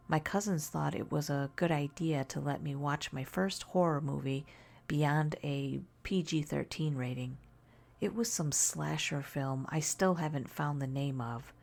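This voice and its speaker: A woman softly narrating a scary story in ASMR style, hushed and gentle, often slipping into a whisper. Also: low-pitched, gravelly.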